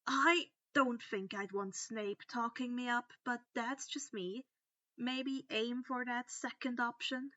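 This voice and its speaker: A woman voicing a blunt, easygoing teenage schoolboy with a slow, offhand manner in a story reading. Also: on the high side, fairly even intonation, pushed and bright, dry recording, lo-fi sound. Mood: doubtful.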